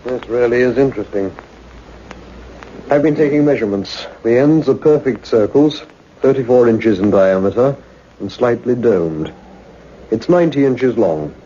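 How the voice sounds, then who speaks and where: A man's voice: mid-range voice, expressive, normal speed, warm tone, close to an everyday voice. An actor playing a young, practical male technician in a sci-fi radio play. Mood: keen, businesslike. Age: young adult. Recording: dull radio recording with background noise.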